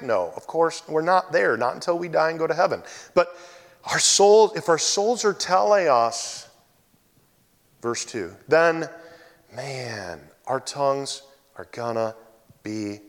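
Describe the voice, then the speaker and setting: A man preaching a sermon, telling a personal story in an animated way and bursting into loud exclamations. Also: on the high side. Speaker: pastor. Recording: hall reverb.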